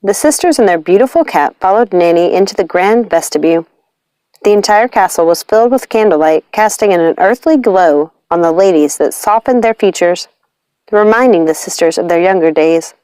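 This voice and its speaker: A woman reading a fantasy storybook aloud in an expressive narrating style. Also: lowish voice, moderate pace, clean tone, no echo. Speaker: audiobook reader.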